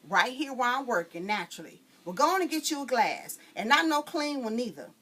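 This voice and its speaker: A woman's voice: on the high side, sing-song, a little fast, fairly bright tone, voice pushed up. A woman voicing a stern, busy mother who grumbles and scolds her child in a story reading. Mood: scolding.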